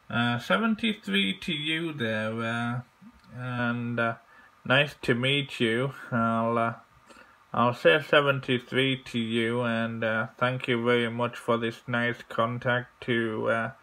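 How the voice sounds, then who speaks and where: A man making an amateur radio contact, courteous and halting, often repeating his phrases. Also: medium pitch, bright, forceful tone. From England.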